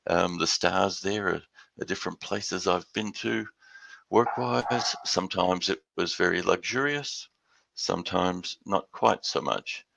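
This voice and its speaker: An older man with an Australian accent giving a webinar presentation, halting and repeating words as he goes. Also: deep voice, gravelly, fairly bright tone. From Western Australia. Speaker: guest speaker.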